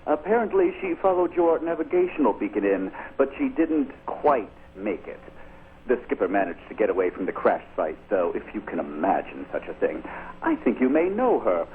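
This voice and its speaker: An actor playing a suave, menacing military commander, polite and insinuating, heard over a radio link in a drama. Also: male voice, medium pitch, very animated, moderate pace. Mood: smooth, evasive. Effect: radio transmission. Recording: dull narrow radio link, faint hiss.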